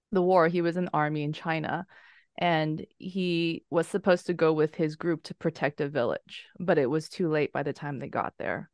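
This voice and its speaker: A woman's voice: low-pitched, dry recording, clean studio sound. A woman and horror writer, searching for words at first and then telling a family story steadily in a casual roundtable chat. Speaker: podcast guest, horror writer.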